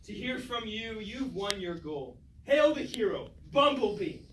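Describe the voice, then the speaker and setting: A man's voice: high-pitched, very animated, unhurried, fairly bright tone, full character voice. A man acting a scornful, judging member of a tragic chorus on stage, formal and mocking. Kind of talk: staged reading of mock Greek tragedy. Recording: distant echoey stage, faint noise.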